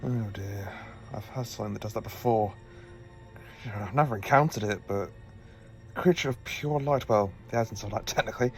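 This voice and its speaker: A man playing an eccentric, inquisitive and excitable time-traveling alien scientist in a sci-fi audio drama. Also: on the low side, moderate pace, soft background music, natural voice. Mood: grave.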